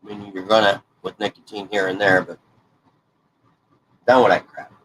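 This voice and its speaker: A man's voice: fairly low pitch, little pitch movement, normal speed, a bit bright, slightly rough, poor audio. A congested man in his forties, chatting in a folksy, rambling way while he works on a crafting project. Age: 40s. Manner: folksy, rambling, self-correcting. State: congested, stuffed-up nose. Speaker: hobby crafting vlogger.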